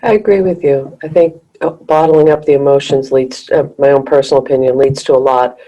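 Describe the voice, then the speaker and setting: A woman co-host speaks in a very low, husky voice with a mellow, dark warmth. She chats casually, thoughtfully agreeing and adding her own view. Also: fairly even intonation, brisk, highs cut off. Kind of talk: casual chat.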